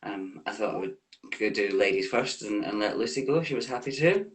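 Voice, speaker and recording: A man's voice: lowish voice, animated, brisk, a little hiss or hum. A Scottish man from the west coast, a welfare manager chatting in a friendly way with hesitant ums. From west coast of Scotland. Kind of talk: casual chat.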